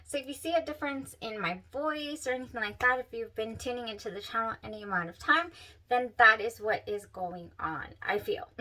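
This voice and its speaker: A woman's voice: on the high side, pushed and bright, recorded from a distance, poor audio. A woman hosting a perfume review channel, talking casually to camera with a stuffed-up, nasal voice, gushing and sighing over scents.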